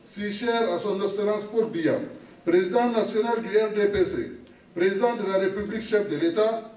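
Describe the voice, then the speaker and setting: A man with a Cameroonian accent solemnly reading a formal public declaration in short, measured phrases, as an official would. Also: high voice, a little mellow. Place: municipal hall at a public meeting. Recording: echoey hall, distant microphone.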